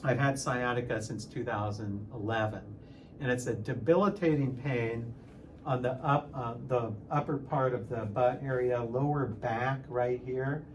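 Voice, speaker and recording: A man of sixty talking casually in a personal vlog, halting at times and repeating phrases for emphasis. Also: mid-range voice, steady tone, a little hiss or hum. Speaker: music teacher and channel host.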